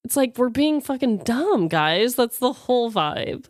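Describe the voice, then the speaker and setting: Female podcast co-host, highish and very expressive, emphatic casual banter. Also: measured pace, no echo, clean studio sound. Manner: emphatic, informal, reactive.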